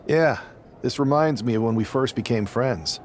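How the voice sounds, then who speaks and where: A man's voice: medium pitch, moderate pace, darkish tone, natural voice. A man playing a loyal, encouraging young wrestler in a video game cutscene. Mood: sincere, nostalgic. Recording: faint background noise and ambience.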